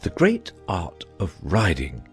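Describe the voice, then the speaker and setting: A man playing an eccentric, earnest, bumbling old knight in a dramatized audiobook, with a halting, fussy delivery. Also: low voice, huge pitch swings, slightly rough, faint music behind, full character voice. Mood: solemn, proud. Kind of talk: dramatized audiobook character acting.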